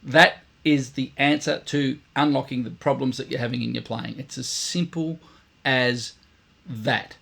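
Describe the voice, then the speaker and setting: A man, a brass teacher giving blunt, emphatic instruction to camera, his voice croaky and tired today. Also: mid-range voice, fairly even intonation, measured pace, a bit bright. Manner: emphatic, blunt, repeats points for stress. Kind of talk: direct instructional talk to camera.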